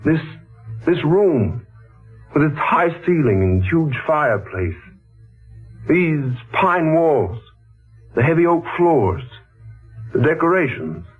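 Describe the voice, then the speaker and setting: A husky puzzled gentleman guest sounds out of an old, phone-like radio recording. His delivery is earnest, halting and dramatic, swinging in pitch in 1940s radio play manner. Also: very animated, soft background music, heavily acted. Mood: wondering, uneasy. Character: puzzled, polite male houseguest haunted by familiarity.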